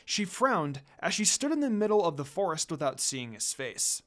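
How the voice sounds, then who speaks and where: Male story narrator, fairly high voice, very varied intonation, dramatic delivery of a written fantasy tale. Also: big pitch swings, moderate pace. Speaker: fan fiction reader, narrator.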